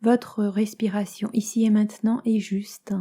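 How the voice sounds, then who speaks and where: A woman guides a yoga nidra meditation as a teacher, calm and soothing, in soft phrases that turn breathy at the ends, with many pauses. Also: medium pitch. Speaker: yoga nidra teacher guiding a relaxation session.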